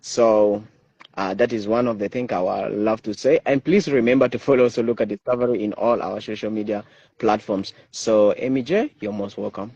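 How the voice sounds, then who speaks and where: A man hosting an online interview show, reading viewer comments aloud and pausing often on fillers. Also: lowish voice, fairly even intonation, darkish tone, husky, no echo, muffled recording. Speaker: host.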